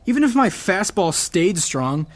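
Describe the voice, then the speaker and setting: An actor playing a frustrated but determined teenage high school athlete in an audio drama, earnest and defensive. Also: male voice, sing-song, measured pace, full character voice. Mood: dejected.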